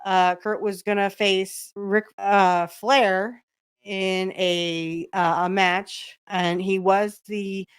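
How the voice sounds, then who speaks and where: A woman podcast host talks unhurriedly in a smooth, clear voice of middle pitch. She chats fondly and giggles often, with plenty of filler ums as she comments along with a video. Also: little pitch movement, very slow. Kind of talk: casual watch-along commentary.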